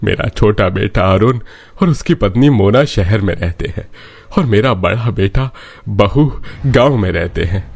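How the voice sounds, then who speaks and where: A man voicing a gentle, concerned elderly father-in-law in a cartoon story, earnest in manner. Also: lowish voice, a little slow, creaky, slightly rough, heavily acted.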